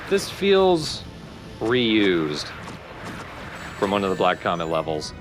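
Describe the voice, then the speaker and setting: A man's voice: deep voice, huge pitch swings, slow, music in the background. A man giving casual let's play commentary as the player, with dry, wry asides.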